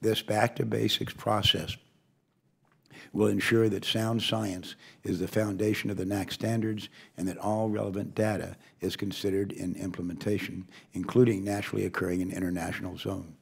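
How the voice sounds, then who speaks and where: An older man with a hoarse voice, reading a formal opening statement as committee chairman with occasional asides. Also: lowish voice, level tone, moderate pace.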